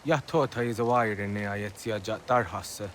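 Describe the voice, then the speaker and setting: A man's voice: lowish voice, moderate pace, a bit bright, a little hiss or hum, close to an everyday voice. An actor playing a village man in a dramatic scene from a historical video game. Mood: serious.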